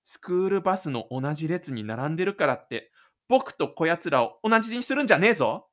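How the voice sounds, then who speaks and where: A man playing a shy, stubborn high-school boy who boasts scornfully and defensively in his inner monologue. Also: very animated, a little fast, fairly bright tone. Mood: scornful, cocky. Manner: cocky, defensive, scornful muttering. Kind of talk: inner-monologue radio drama acting.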